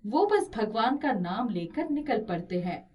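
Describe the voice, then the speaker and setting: Female storyteller, high-pitched, scripted narration of moral tales. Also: big pitch swings, a little slow, recorded from a distance, dull audio.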